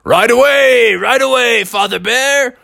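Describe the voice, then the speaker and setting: An obliging fire-breathing dragon from a children's tale, acted out by a storyteller. It speaks emphatically in a raised pitch, eager to serve. The sound is dry. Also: a little slow, creaky, sharp tone, no room sound.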